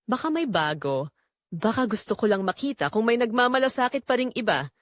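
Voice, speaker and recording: Actress as sensual, restless Filipina wife confessing an affair; very animated pitch, dry close sound, audio drama narration. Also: female voice, fairly quick, dry recording. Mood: uneasy, intrigued.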